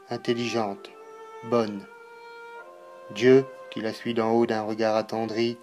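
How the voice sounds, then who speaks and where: A man giving a solemn, dramatic poetry recitation, declaiming in measured phrases with pauses. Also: medium pitch, darkish tone.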